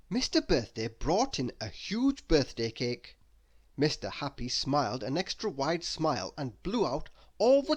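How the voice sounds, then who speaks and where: A man reading a children's story aloud as narrator, expressive and deliberate with dramatic emphasis. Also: fairly high pitch. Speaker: storybook reader.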